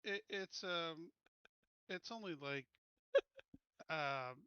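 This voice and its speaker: A man's voice: on the high side, very slow, sharp tone, smooth, no echo, dull audio. A man chatting casually and informally as a player in an online tabletop game. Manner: informal, often says "dude".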